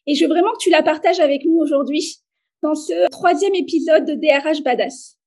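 A woman's voice: high-pitched, fairly quick, darkish tone. A woman hosting a podcast as an HR expert, friendly and enthusiastic, addressing the listener directly.

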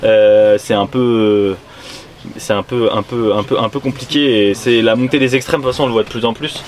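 A man's voice: on the low side, steady tone, normal speed. A man hosting a live current-affairs show, chatting in a casual, slangy interview style. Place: live stream from a van in central Paris. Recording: noisy city street ambience.

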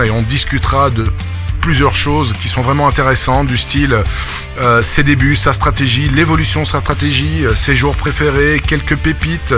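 An enthusiastic man hosts a casual podcast, his voice sitting a bit on the higher side. He talks eagerly but often pauses with fillers. Music plays clearly underneath him. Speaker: podcast host.

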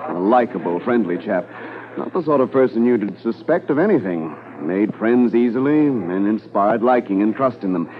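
A man playing a middle-aged veteran police officer, relaxed and reflective as he recalls a case in a 1950s radio drama. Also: fairly low pitch, normal speed, close to an everyday voice. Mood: reminiscing. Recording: narrow-band old radio transcription with music.

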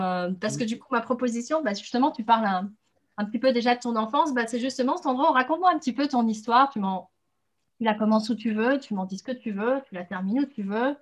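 Woman interviewer, slightly high pitch, hesitant, fillers, casual questions. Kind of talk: friendly conversational interview.

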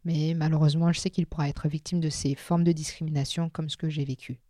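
A woman's voice: low-pitched, level tone, fast, warm tone, dry recording, studio quality. A French woman speaking as a podcast guest, talking casually with frequent hesitations and filler sounds. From France. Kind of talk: casual interview conversation.

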